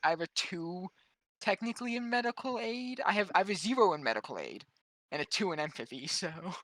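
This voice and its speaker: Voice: mid-range voice, lively intonation, brisk, fairly bright tone, no room sound. A tabletop game player chatting casually and hesitantly, often restarting phrases. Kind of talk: casual chat.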